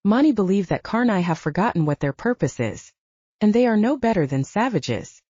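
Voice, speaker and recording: A woman voicing a guarded, knowing young female vampire in an audiobook, soft-spoken and explanatory. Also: mid-range voice, sing-song, normal speed, slightly rough, no echo, lo-fi sound. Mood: earnest, explaining. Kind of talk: audiobook character dialogue.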